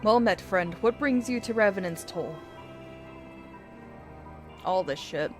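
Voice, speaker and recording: A woman's voice: medium pitch, animated, slow. A woman game streamer reading video game dialogue aloud in character, with casual asides. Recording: music behind the voice.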